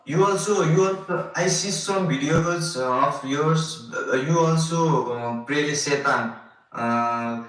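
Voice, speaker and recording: A man with a Nepali accent poses questions haltingly and often restarts his sentences. His voice sits a little high for a man. He sounds distant in a strongly echoing room. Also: fairly bright tone, a little hiss or hum. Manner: hesitant, halting, many restarts. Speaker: group member asking questions. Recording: very echoey room, distant mic.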